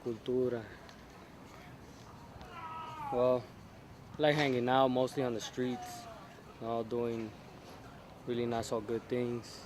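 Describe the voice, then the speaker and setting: A man's voice: medium pitch, flat delivery, a little slow, pauses often, noisy background. A man from South Central Los Angeles, answering interview questions casually and hesitantly, often restarting his sentences.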